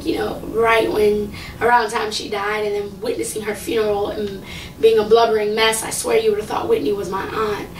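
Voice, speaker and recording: A young woman talking casually to camera about a singing contest. She rambles with lots of fillers, and her voice is low and slightly raspy. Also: far from the mic, slight background noise. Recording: echoey room, voice from off the mic.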